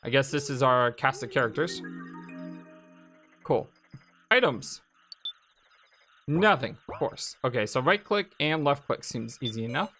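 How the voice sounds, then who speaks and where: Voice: mid-range voice, expressive, a little slow, long pauses, pushed and bright. A let's play host giving casual, chatty gameplay commentary and reading game text aloud, reacting as they go. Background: video game music.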